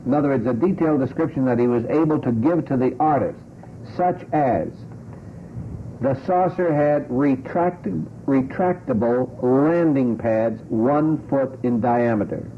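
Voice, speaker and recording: A man's voice: mid-range voice, unhurried, narrow, tinny audio, a little hiss or hum. A man hosting a radio panel talk show, talking in a digressive way and putting pointed questions on an old broadcast.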